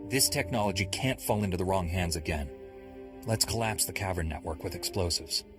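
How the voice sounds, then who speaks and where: A man voicing a calm, confident and earnest Jedi knight hero in a video game. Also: low-pitched, a little fast, natural voice. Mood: resolute, earnest. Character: heroic young male Jedi knight, calm and resolute. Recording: music playing behind.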